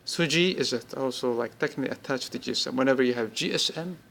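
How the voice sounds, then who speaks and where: A man giving an informal, unscripted product demonstration, speaking haltingly in short pieced-together bursts. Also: medium pitch, creaky, a bit bright. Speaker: app demonstrator.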